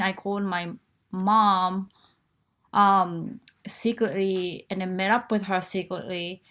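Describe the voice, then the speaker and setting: A woman's voice: medium pitch, fairly bright tone. A woman, from Japan, frankly telling her life story as a guest in a live online interview, with fillers and restarts. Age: 40s.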